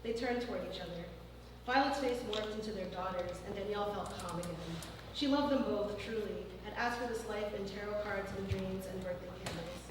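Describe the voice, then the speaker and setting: A woman reading her fiction aloud at a live event in a large former church hall, in a measured, even way. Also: mid-range voice. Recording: distant mic in a very echoey hall, faint noise.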